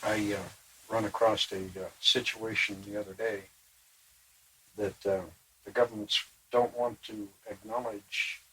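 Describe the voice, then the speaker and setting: A man's voice: fairly low pitch, bright, forceful tone, husky, poor audio. A man in casual conversation, plain-spoken with fillers and asides. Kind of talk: casual chat.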